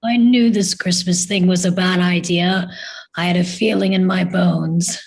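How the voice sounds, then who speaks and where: A woman's voice: fairly low pitch, steady tone, a little slow, darkish tone. A woman playing a fretful two-faced male mayor, declaiming gloomily in a live table read.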